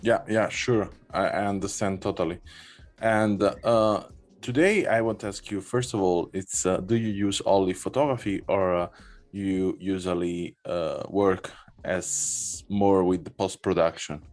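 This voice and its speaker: A male podcast host interviewing a guest, speaking haltingly with many fillers and non-native phrasing. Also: low voice, steady tone, darkish tone, faint music behind.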